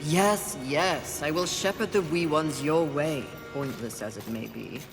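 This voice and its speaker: A woman's voice: sits low, unhurried, music in the background. An actress playing a haughty, battle-hungry female horseman of the Apocalypse in a video game, speaking with imperious, sardonic disdain. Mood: haughty, dismissive. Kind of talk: theatrical video game voice acting.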